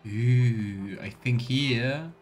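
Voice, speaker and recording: A man's voice: medium pitch, drawn-out words, a little mellow. Chatty man streaming a game over music. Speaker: livestreamer playing a video game. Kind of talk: casual let's play commentary.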